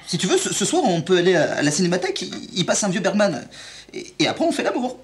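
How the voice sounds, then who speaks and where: A man's voice: high voice, very animated, quick pace, slight background noise. A man playing a neurotic, stammering intellectual who rambles anxiously and repeats words. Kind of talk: sketch comedy.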